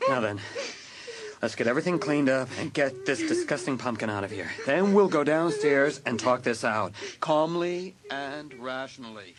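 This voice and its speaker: A man's voice: medium pitch, very animated, normal speed, natural voice. A man playing an exasperated, commanding father in a dramatised audiobook. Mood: shocked, firm. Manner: stern, commanding. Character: exasperated father keeping order in the household.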